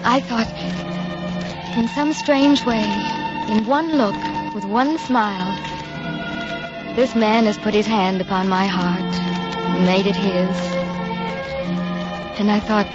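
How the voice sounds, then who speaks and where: An actress playing a gentle, earnest young woman in a 1940s radio drama, speaking softly. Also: medium pitch, takes it slowly, long pauses, over loud music, natural voice. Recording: dull lo-fi 1940s radio recording.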